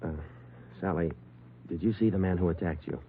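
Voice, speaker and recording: A man's voice: sits low, normal speed, phone-quality sound, a little hiss or hum. An actor playing a terse, dogged police sergeant who narrates his manhunt in clipped, matter-of-fact 1940s radio drama style. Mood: calm, businesslike. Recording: band-limited 1940s radio broadcast, music underneath.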